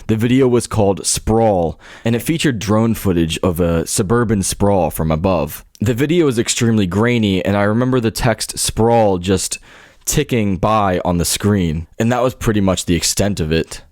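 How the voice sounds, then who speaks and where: A man's voice: fairly low pitch, normal speed, darkish tone, no echo, studio quality. A man narrating a scripted documentary-style video essay. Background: background music.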